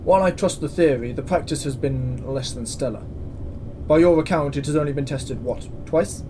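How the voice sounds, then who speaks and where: A man's voice: on the high side, moderate pace, warm tone, natural voice. Man playing stern space station commandant, noisy ambience. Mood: measured, grave. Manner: formal, authoritative, dry. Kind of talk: science-fiction audio drama acting.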